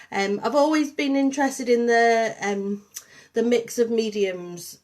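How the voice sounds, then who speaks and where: A woman with a Liverpool accent touched by Yorkshire, hosting a casual livestream and chatting warmly to viewers with lots of ums. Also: medium pitch. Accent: Liverpool and St Helens with a touch of Yorkshire. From Liverpool, England. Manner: chatty, warm greetings to viewers, many ums. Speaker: artist and programme coordinator hosting a livestream.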